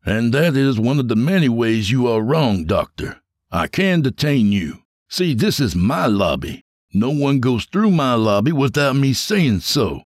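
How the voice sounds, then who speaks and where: A man's voice: medium pitch, very animated, measured pace, no room sound, studio quality, pitched above the performer's own voice. A man voicing a smug, mocking male officer in an audiobook. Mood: taunting. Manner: mocking, cocky.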